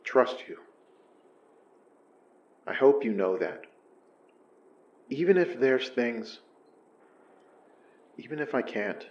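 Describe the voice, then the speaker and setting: A slow, earnest older investigator. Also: male voice, medium pitch, lively intonation, darkish tone, lo-fi sound. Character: Donner, an older man investigator, gruff but sincere. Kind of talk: audio horror drama acting.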